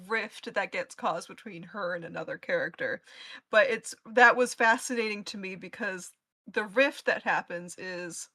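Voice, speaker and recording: Bright-voiced woman chatting on a panel. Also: mid-range voice, no echo. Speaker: panelist, podcast crew member who edits episodes. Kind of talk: casual panel chat.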